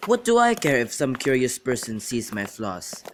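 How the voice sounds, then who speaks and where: A young man in an amateur radio drama, playing a witty, teasing young gentleman and declaiming in a bantering way. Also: mid-range voice, big pitch swings, normal speed, close to an everyday voice. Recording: clearly noisy amateur recording with clicks.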